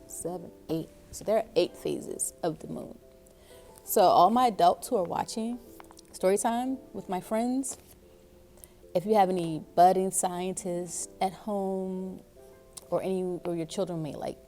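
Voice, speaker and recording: A woman's voice: lowish voice, dark tone. A woman hosting a story time for young children, speaking to them in an encouraging way with frequent questions. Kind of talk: storybook read-aloud and craft instruction for young children.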